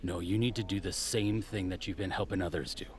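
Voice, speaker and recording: A man playing a gruff, world-weary cop and loyal partner in a noir audio drama, with a gravelly voice. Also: deep voice, steady tone, brisk, lightly acted. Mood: grim warning.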